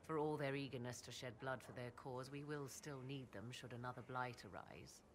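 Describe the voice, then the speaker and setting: An actress playing a proud, sardonic sorceress in a video game, with archaic, formal, haughty diction. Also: female voice, very deep voice, moderate pace, slight background noise. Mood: composed, wistful. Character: proud, sardonic sorceress, devoted mother and lover. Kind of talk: dramatic video game dialogue.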